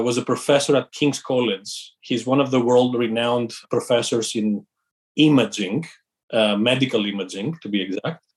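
Middle-aged man from Greece, founder and guest, measured and reflective delivery with fillers. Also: medium pitch. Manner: thoughtful, measured, with fillers and restarts. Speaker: company founder and CEO, guest. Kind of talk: interview conversation.

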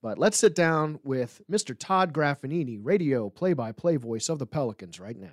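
A man's voice: medium pitch, expressive, moderate pace, no room sound. A man hosting a sports podcast in a casual, chatty style. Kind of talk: casual chat.

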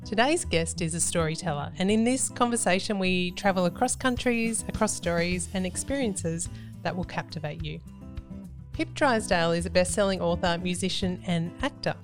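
A woman's voice: fairly low pitch, moderate pace, faint music behind. A woman hosting a podcast, reading a prepared introduction and then asking her guest questions. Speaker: podcast host.

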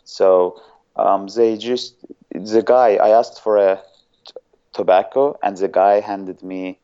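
Man, Azerbaijani accent, smooth deep voice, hesitant guest. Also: fairly even intonation, slow, mellow, highs cut off. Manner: hesitant, often searching for words. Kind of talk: casual interview chat.

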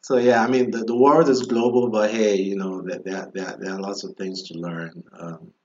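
A man hosting a casual interview, relaxed and chatty, with lots of fillers and easy laughter. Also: lowish voice, normal speed. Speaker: interview host. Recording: dull lo-fi audio.

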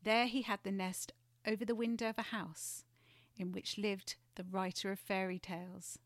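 A woman narrating a storybook expressively and gently, with chatty asides. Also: mid-range voice, level tone, moderate pace, no echo, studio quality. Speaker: guest storyteller.

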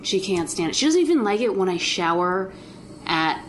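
Young woman, casual chat, middle pitch, laughs a lot, faint noise. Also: very animated. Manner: chatty, laughing, quick asides. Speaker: guest, TV actress.

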